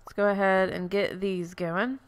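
A woman's voice: mid-range voice, flat delivery, normal speed, low-quality recording. A woman with a stuffy, congested voice, chatting casually as she plays through a farming game, thinking aloud and correcting herself. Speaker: let's play host. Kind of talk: casual gameplay commentary.

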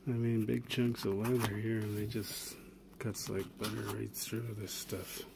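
A man casually demonstrating a pocket knife at a table, relaxed and rambling, with chuckles and filler words. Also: fairly low pitch, a little slow, vocal fry. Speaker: channel host reviewing a pocket knife. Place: indoors at a wooden table. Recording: steady background noise with handling clicks.

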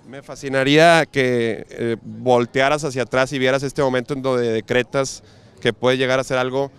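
A man's voice: medium pitch, steady tone, normal speed, smooth. A man in his thirties with a Mexican accent, interviewing passersby on a pier with a handheld mic, joking in slangy banter. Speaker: street interviewer. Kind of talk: casual street interview. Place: outdoors on a busy seaside pier.

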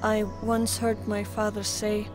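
A woman voicing a solemn, devoted young monster trainer in a manga dub, soft and wistful in delivery. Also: medium pitch, monotone, unhurried, lightly acted. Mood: wistful, resolute. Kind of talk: dramatic flashback narration in a manga dub. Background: music.

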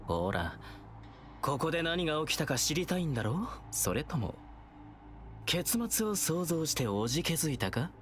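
An actor playing a taunting, playful and menacing young male villain in a video game, with a theatrical, teasing, drawn-out delivery. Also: a little high, big pitch swings, soft background music.

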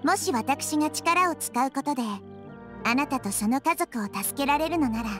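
A woman's voice: high-pitched, expressive, normal speed. A woman voicing a kind, wise little nature goddess in an anime game, girlish yet composed, with refined feminine phrasing. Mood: thoughtful. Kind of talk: Japanese anime game voice acting. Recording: game music behind.

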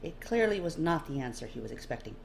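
Amateur audiobook narrator, female, low register, expressive fiction read, slight background noise. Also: fast talker, gravelly. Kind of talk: expressive scripted second-person story narration.

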